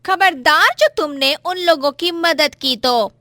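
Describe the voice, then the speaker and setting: Woman doing a nasty domineering daughter in law, high pitched, taunting and yelling, story video drama. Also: slow pace, no echo, studio quality, heavily acted. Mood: threatening, bitter. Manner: scolding, taunting, forceful. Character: spiteful, shrill elder daughter-in-law, quarrelsome and domineering. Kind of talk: melodramatic moral-story dialogue.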